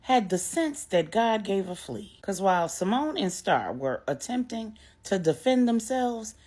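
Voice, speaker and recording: A woman narrating a dramatic story for adults in a wry, deliberate, emphatic way. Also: mid-range voice, sing-song, darkish tone.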